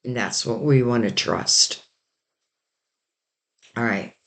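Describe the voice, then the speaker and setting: A man giving a rambling, casual tarot reading while losing his voice and sounding hoarse. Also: mid-range voice, takes it slowly, a bit bright. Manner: rambling, loose and intuitive, many "like" and "you know". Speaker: tarot reader.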